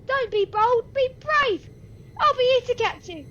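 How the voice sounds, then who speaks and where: A woman's voice: very high voice, lively intonation, a little slow, fairly bright tone, full character voice. A woman playing a plucky, earnest nine-year-old boy in a radio play, with old-fashioned urchin phrasing. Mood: urging. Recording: dull, lo-fi, faintly noisy recording.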